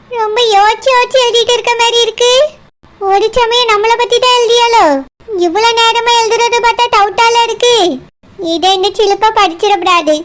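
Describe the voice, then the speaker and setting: A woman's voice: very high voice, fairly bright tone. A woman voicing a scheming, threatening old villain woman in a cartoon, with a Kanyakumari Tamil accent. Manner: plotting aloud to herself, menacing. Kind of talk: cartoon voice acting.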